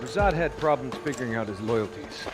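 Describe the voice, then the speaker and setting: A man acts out a cynical mercenary boss in a military video game. He gives orders in a cold, curt, sardonic voice of middling pitch, with music clearly playing behind. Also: animated, fairly quick, lightly acted. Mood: cold, dismissive. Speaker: villain giving orders. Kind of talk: cinematic military game acting.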